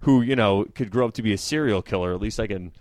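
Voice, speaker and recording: An easygoing man in his forties chats on a podcast with a low, relaxed voice. The sound is dry and close to the mic. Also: quick pace, no room sound. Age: 40s. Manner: easygoing, chatty, laughs often. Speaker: podcast host. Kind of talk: casual chat.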